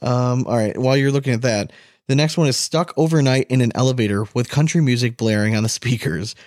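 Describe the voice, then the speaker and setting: Male podcast co-host, mid pitch, casual and excitable, very dry sound. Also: a little fast, no echo. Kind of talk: casual chat, posing questions.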